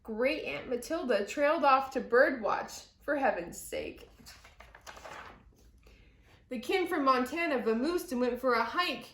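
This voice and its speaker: A high-pitched woman narrates a children's story. She takes it slowly, with deliberate dramatic phrasing, in a room with noticeable echo. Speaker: storyteller reading a picture book aloud. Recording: roomy echo.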